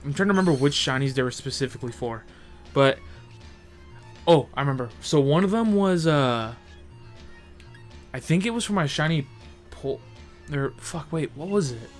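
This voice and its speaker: A man's voice: fairly high pitch, animated, normal speed, long pauses, soft background music. A young man co-hosting a podcast in casual chat, rambling and crude, often correcting himself mid-sentence. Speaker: podcast co-host.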